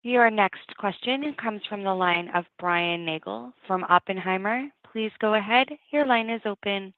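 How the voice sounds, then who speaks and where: A woman with a mid-pitched voice acts as operator on a conference call, giving scripted announcements. The sound is dry and limited to a telephone band. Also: a little slow, no room sound. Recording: narrowband phone line, dry.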